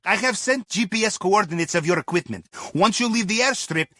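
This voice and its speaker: An actor playing a jovial, shrewd submarine captain with a Russian accent, guiding a heist crew in droll, conversational video game dialogue. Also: male voice, high-pitched, lively intonation, brisk, pushed and bright, no echo, full character voice. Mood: urgent, encouraging. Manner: droll, conversational, dropping articles. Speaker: heist mission handler.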